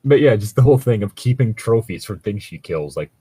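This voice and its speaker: A man in a casual, jokey chat. His voice sits fairly low and has a husky edge, swinging widely in pitch as he talks. The sound is close and dry. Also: fairly quick, no echo. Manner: animated, jokey, swears casually. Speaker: co-host chatting about tabletop roleplaying.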